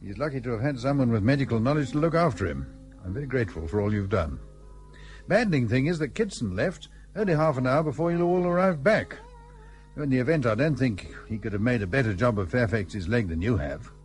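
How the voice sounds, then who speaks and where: An actor playing a hearty, pompous British colonial host with old-fashioned clipped phrasing in a period radio play. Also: male voice, medium pitch, expressive, a little fast, faint music behind, lightly acted. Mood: hearty, sociable. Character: blustery, sociable British colonial husband and host.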